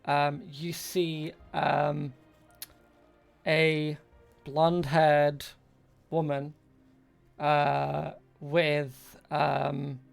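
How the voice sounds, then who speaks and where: Slow, creaky-voiced game master over music. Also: medium pitch. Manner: halting, searching for words. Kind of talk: improvised narration of a tabletop role-playing game.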